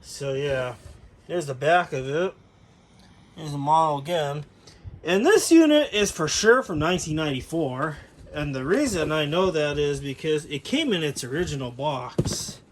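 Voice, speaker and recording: A man's voice: on the high side, animated, normal speed. An American man giving a casual, unscripted product overview as a hobbyist, explaining things in a rambling, matter-of-fact way. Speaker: hobbyist video creator. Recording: clearly noisy room ambience.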